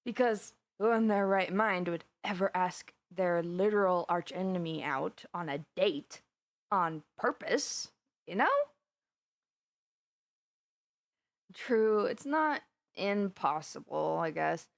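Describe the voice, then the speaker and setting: A woman's voice: fairly low pitch, measured pace, creaky. Woman acting a giddy, chatty young villainess. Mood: chatty, incredulous. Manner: gossipy, rambling, animated storytelling with giggles. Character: giddy, chatty, flustered young villainess confiding in her best friend. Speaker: voice actor in an audio roleplay. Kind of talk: conversational audio roleplay monologue.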